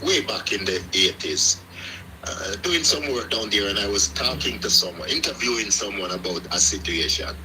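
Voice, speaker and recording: A man's voice: fairly low pitch, lively intonation, moderate pace, a crowd in the background, low-quality recording. A middle-aged man from Jamaica with a Jamaican accent, hosting a casual live chat and telling long stories full of restarts. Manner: rambling, with many restarts and storytelling. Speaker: live stream host.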